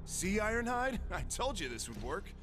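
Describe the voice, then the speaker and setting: A man's voice: high-pitched, big pitch swings, moderate pace, over music. A man voicing a cocky, wisecracking young robot warrior in a video game, breezy and sarcastic. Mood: smug.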